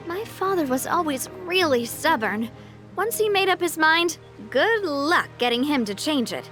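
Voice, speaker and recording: A woman playing a poised, warm-hearted young female leader in an English video game dub, speaking in a reflective, earnest way. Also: sits high, very animated, a little slow, exaggerated voice. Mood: wistful, matter-of-fact. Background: music.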